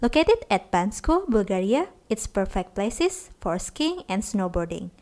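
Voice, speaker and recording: A woman's voice: medium pitch, very animated, measured pace, a little mellow. A woman hosting, reading a scripted introduction for a show. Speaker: show host.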